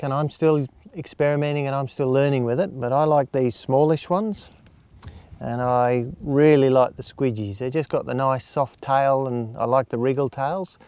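A man's voice: mid-range voice, a little mellow, clean tone. A man in his fifties with an Australian accent gives informal, plain-spoken instruction in an easygoing way, outdoors. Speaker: fishing instructor. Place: outdoors on an open lake shore.

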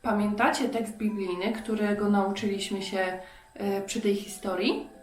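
A woman teaching an interactive Bible lesson to young children, expressive, asking questions and answering them herself. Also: mid-range voice, a little slow, reverberant, faint music behind.

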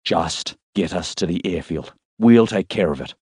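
A man voicing a steady military leader in an audiobook, speaking in a clipped, commanding way. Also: low-pitched, moderate pace, husky, no echo, clean studio sound. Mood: brisk command. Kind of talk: audiobook character dialogue.

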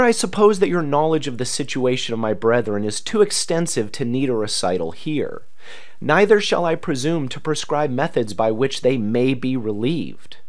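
A man reads a historical letter aloud in a formal, oratorical manner with emphatic pauses. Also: mid-range voice, darkish tone. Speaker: reader.

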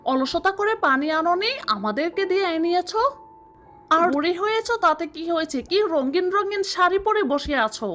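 A woman voicing a bratty, sharp-tongued girl, the younger sister in a cartoon, who talks in a snappy, scornful way. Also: extremely high pitch, lively intonation, moderate pace. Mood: accusing.

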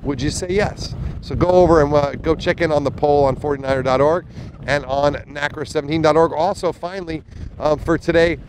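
A man's voice: on the high side, fairly even intonation, a little fast, darkish tone, a little hiss or hum, poor audio. A man in his thirties giving chatty, enthusiastic live commentary on a sailing race from a small motorboat, speaking into a handheld mic with a windscreen. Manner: chatty, enthusiastic, many fillers and restarts. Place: small motorboat on open water, outdoors. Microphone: handheld mic with fuzzy windscreen.

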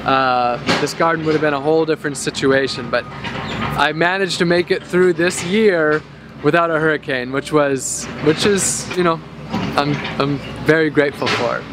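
Upbeat male vlogger, 30s, garden tour, somewhat higher voice, clear background street noise. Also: fairly even intonation, normal speed. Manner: enthusiastic, gestures while talking and eating. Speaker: vlogger showing his garden. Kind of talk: casual walk-through vlog. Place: outdoor urban garden, then indoors by a bookshelf. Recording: outdoor traffic noise in background.

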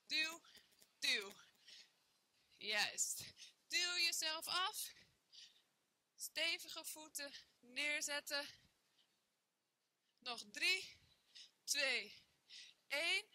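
A woman's voice: sits high, lively intonation, very slow, pauses often, bright, forceful tone, dry recording. A young woman leading a workout class, counting reps and cheering on her students while she exercises along in a bare indoor room. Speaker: fitness trainer. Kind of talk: guided workout instruction.

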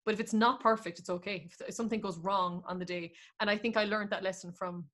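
A young Irish woman, a singer, talking in long animated runs on a video call from home. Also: medium pitch, quick pace. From Ireland. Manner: animated, talks in long flowing runs with quoted asides. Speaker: guest singer. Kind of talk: casual interview chat. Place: room at home. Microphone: video call with earphone mic.

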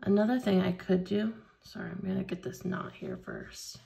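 A woman leading a hands-on beading tutorial at a craft table, explaining each step slowly and patiently. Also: medium pitch, wildly swooping pitch, vocal fry, mellow. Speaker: craft instructor.

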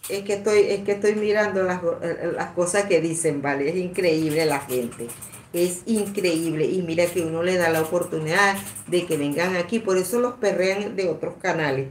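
A woman in her sixties hosting a casual live stream, reading out comments in a rambling, halting, repetitive way. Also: on the low side. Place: small indoor room at a table. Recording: echoey room, some background noise.

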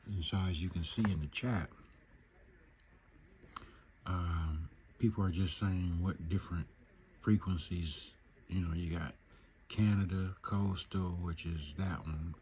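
Very low-voiced man, casual walkthrough. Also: steady tone, slow pace, darkish tone, slight background noise. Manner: informal, thinking aloud. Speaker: video creator demonstrating shortwave radio listening. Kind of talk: casual off-the-cuff screen tutorial. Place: indoors at a laptop. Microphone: handheld phone behind the camera.

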